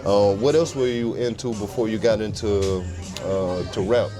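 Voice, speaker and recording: A man with a lowish voice hosting a relaxed interview, chatty and encouraging. Music plays clearly behind him. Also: moderate pace. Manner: chatty, affirming with "yeah". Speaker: podcast host. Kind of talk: casual interview.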